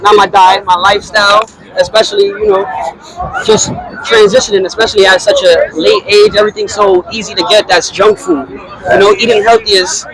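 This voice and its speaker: A man in his thirties interviewing athletes, asking halting, hesitant questions in a casual street interview. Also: high voice, steady tone, poor audio. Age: 30s. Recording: outdoor crowd chatter.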